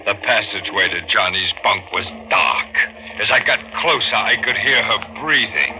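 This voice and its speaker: An actor playing a guilt-haunted, murderous sailor in an agitated, melodramatic 1940s radio thriller style. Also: male voice, on the low side, normal speed, natural voice. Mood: haunted narration. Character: frantic, guilt-haunted murderous sailor convinced a dead woman returned. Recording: noisy, dull 1940s radio recording.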